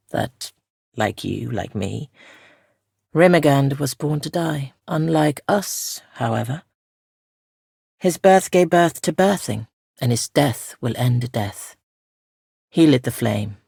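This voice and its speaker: A woman playing a solemn, ancient female spirit guardian in a fantasy game, speaking softly and formally with measured gravity. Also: extremely low pitch, dry recording, studio quality, full character voice. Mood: reverent, wistful. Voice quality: soft, gravelly. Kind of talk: scripted fantasy game dialogue.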